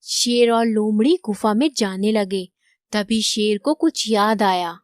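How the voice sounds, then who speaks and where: A woman's voice: mid-range voice, slow pace, no room sound, clean studio sound. A woman narrating a scripted children's moral story.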